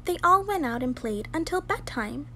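A woman's voice: high-pitched, expressive, moderate pace, pushed and bright. A young woman reading a children's story aloud expressively, in a home recording. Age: young adult. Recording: home recording with clear background noise.

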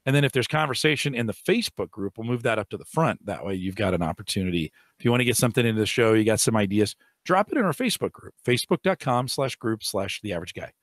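A middle-aged man hosting a casual tech podcast, hoarse and raspy from allergies, rambling with fillers and restarts, on a boom-arm studio mic in a home studio. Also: expressive, quick pace, hardly any pauses, dry recording. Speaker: podcast host. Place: home studio room with shelves.

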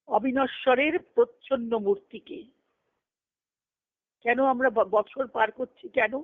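A woman giving an earnest, emphatic devotional discourse over a conference phone line, reciting scripture and verse and pausing between short phrases. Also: a little high. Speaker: spiritual discourse speaker. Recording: tinny band-limited conference phone call.

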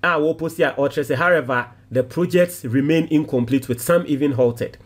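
Ghanaian male commentator, fairly high voice, animated delivery, reads and reacts to comments. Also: moderate pace. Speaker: commentator reading social media comments. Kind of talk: casual commentary mixing English and Twi.

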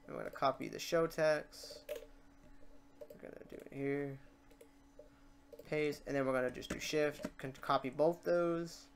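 A casual host records a software tutorial in a mid-range voice. The talk is informal, with muttered asides and self-corrections along the way. Faint noise, typing and mouse clicks sit behind the voice. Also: medium pitch, vocal fry. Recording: noisy room, keyboard typing and clicks. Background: keyboard typing, mouse clicks, background noise.

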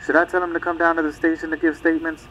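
An actor playing an earnest, dutiful small-town deputy reporting in procedural talk over a police radio in an audio drama. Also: male voice, a little high, flat delivery, racing, fairly bright tone. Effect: police radio filter. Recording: muffled radio, faint noise.

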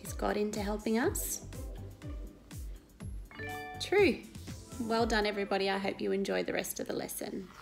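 A woman in her thirties reads a Bible story to children, explaining it and asking questions in an expressive, teacherly way. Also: medium pitch, normal speed, vocal fry, pauses often, soft background music. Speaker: Sunday school story reader. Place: indoor living room at home.

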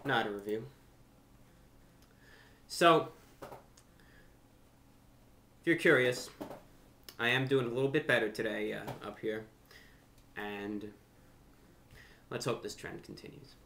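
A young man talking unscripted to camera as a host, with deliberate, slightly formal phrasing, pausing and repeating words. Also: medium pitch, a bit bright, slight background noise. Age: young adult. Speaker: YouTube host and amateur radio broadcaster. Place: furnished room at home, indoors.

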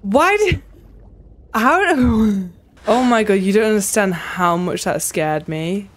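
A woman's voice: medium pitch, animated, takes it slowly, a little hiss or hum. A young woman giving casual, playful, chatty commentary while she plays a video game. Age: young adult. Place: small indoor room at home.

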